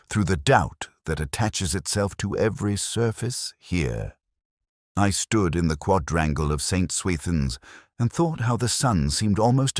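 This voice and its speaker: A man reading a scripted first-person detective story in a measured, literary, brooding way. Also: sits low, no echo, clean studio sound. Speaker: narrator.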